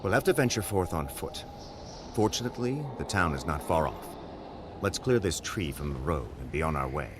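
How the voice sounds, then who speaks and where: A man playing a composed, formal gentleman detective in a video game, with old-fashioned measured phrasing. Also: rumbling low, expressive, background noise. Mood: composed, purposeful. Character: gentlemanly male detective companion, composed and formal, quick to alarm. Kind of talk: video game character acting.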